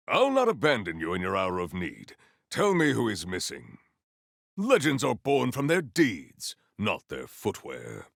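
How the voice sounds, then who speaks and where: An actor playing a solemn, valiant warrior hero in a video game, speaking in formal, archaic, grandiose phrases. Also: male voice, medium pitch, creaky, a bit bright, no echo, clean studio sound, exaggerated voice. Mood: proud, earnest. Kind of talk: theatrical video game character lines.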